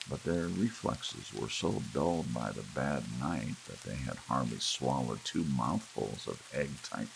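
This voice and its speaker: A man reading a story aloud as an audiobook narrator, in a relaxed bedtime style. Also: rumbling low, vocal fry. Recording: faint hiss and rain sound. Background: soft rain.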